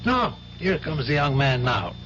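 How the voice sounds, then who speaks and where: An actor playing a courteous, calm priest in a vintage radio drama, speaking politely and reassuringly. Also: male voice, on the high side, unhurried. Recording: noisy, dull vintage radio recording with hum.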